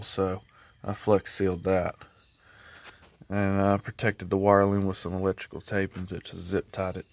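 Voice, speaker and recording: Guy doing a laid back diy walkthrough, kinda deep smooth voice, folksy. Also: fairly bright tone. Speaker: hobbyist vlogger showing a truck install. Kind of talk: casual hands-on walkthrough.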